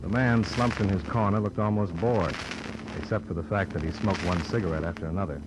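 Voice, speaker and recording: Low-voiced hard-boiled American radio investigator, played by a man. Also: brisk, noisy background, natural voice. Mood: dry, wry. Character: hard-boiled male insurance investigator, wry and tough. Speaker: detective lead and narrator. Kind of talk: 1950s American radio detective drama acting and narration.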